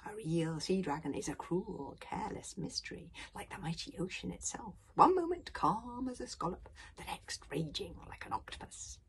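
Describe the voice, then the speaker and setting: A woman voicing an old Viking grandfather in a storybook, breathy and wheezy, unhurried and musing with high swoops. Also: expressive, exaggerated voice. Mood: musing, sage. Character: wheezy old grandfather, contented, pipe-smoking Viking soothsayer.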